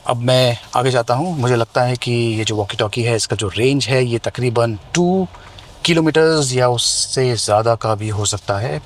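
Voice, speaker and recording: An Indian man presents a hands-on tech review in a conversational, explanatory way. His voice has a middling pitch, and some outdoor ambient noise sits behind it. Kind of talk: hands-on product review, mixing Hindi and English. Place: outdoors near residential buildings.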